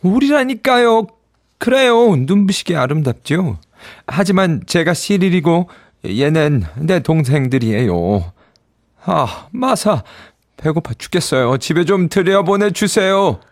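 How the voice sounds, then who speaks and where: A man's voice: on the high side, sing-song, racing, no echo, studio quality, voice pushed up. A man voicing a cheeky, insistent, hungry schoolboy, the bold older brother in a storybook. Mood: insistent pleading. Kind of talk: storybook character voice.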